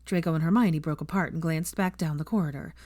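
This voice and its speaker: Low-voiced woman narrating, dry sound. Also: quick pace, a little mellow, no room sound. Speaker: fan fiction narrator. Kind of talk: expressive scripted audiobook narration.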